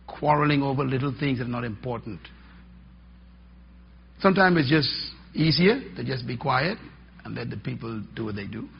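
A middle-aged man preaching a teaching sermon, emphatic and folksy, swinging between loud stresses and quiet drops. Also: medium pitch, gravelly, a little hiss or hum. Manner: emphatic, rising and dropping for effect, folksy asides. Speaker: pastor. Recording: dull lo-fi sermon recording.

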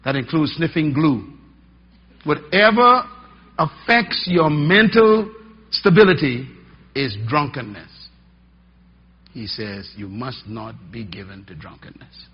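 Middle-aged male pastor, husky, emphatic folksy sermon delivery. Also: mid-range voice, lively intonation, takes it slowly, pauses often. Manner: emphatic, rising and dropping for effect, folksy asides. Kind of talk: sermon teaching. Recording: dull lo-fi sermon recording.

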